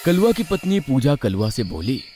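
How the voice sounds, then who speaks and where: A man's voice: mid-range voice, sing-song, normal speed, dark tone. A man telling a scripted horror story as a dramatic narrator. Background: music.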